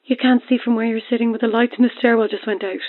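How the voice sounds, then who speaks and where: A woman's voice: fairly high pitch, steady tone, fast. A woman playing an anxious radio show colleague in a horror audio drama, hesitant, in short phrases through a talkback filter. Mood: frightened. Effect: talkback or telephone filter. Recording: narrow, phone-like studio talkback.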